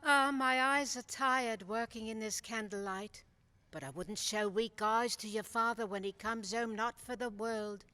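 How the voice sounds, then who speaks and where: Woman acting bright-voiced weary loving mother. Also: a little high, a little slow. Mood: weary, wistful. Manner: gentle, wistful. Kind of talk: radio play acting.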